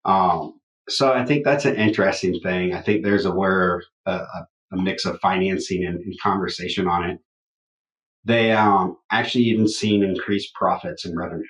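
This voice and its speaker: A loud-voiced man co-hosting a podcast in casual chat. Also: low voice, steady tone, moderate pace, echoey room, rough recording. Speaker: podcast co-host.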